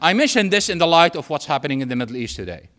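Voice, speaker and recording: A man from Syria with a Syrian Arabic accent and Arabic as his first language, giving a public lecture in an emphatic way with repetition and pauses for effect. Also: on the high side, sing-song, fairly bright tone. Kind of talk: public lecture with anecdotes and humour.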